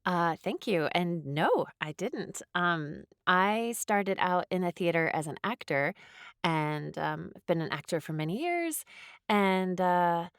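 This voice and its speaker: Low-voiced woman, thoughtful. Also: animated, slow, clean tone, no echo, clean studio sound. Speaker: playwright, interview guest. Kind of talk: reflective interview conversation.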